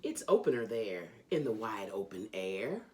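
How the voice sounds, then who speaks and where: A woman hosting a children's read-along, reading a storybook aloud in an animated, sing-song storytelling manner. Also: a bass voice, normal speed.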